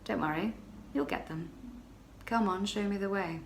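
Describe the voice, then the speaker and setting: Smug, cocky man, put on by a woman reader, calm and mocking, pitched a little lower. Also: moderate pace, creaky, slight background noise, natural voice. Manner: calm, mocking, self-assured. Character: brash, cocky male stranger, smug.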